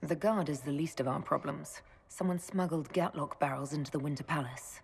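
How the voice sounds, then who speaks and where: An actress playing a calm, direct, authoritative heroine leader in a video game. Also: female voice, sits low, fairly quick, creaky, breathy edge, full character voice. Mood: calm, resolute. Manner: direct, controlled.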